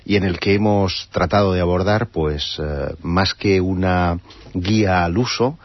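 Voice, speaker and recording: A man whose first language is Catalan, a guest author explaining his book in a measured way on a radio show. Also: deep voice, dry recording. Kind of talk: radio interview. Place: radio studio. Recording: dry lo-fi radio broadcast.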